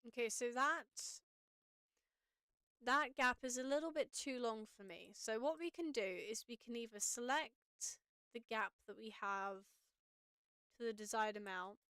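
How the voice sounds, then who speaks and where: A woman with a slightly raspy but smooth, higher-pitched voice chats casually as she teaches audio editing on a live stream. She thinks aloud while she works. The sound is dry and close. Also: a bit bright, no echo.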